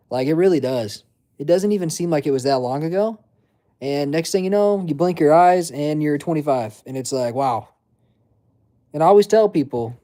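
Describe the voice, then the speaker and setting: A man's voice: a little high, warm tone. Young man, laid-back stream chatter. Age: 20s. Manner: rambling, filler-heavy slang. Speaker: livestream host. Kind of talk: casual livestream chat reading comments.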